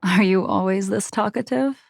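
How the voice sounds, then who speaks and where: A woman playing a calm, enigmatic veteran swordswoman in a video game, speaking in a measured, poetic, formal way. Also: mid-range voice, creaky, no echo, clean studio sound. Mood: cool, aloof. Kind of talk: English video game voice acting.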